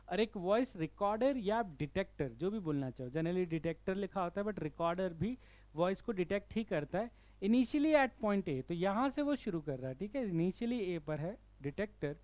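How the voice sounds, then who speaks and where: A man with an Indian accent and a fairly high, smooth voice teaches physics in an explanatory lesson. He goes step by step and keeps checking in with the listener. The sound is close and dry. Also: darkish tone, dry recording. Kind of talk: explanatory problem-solving lesson.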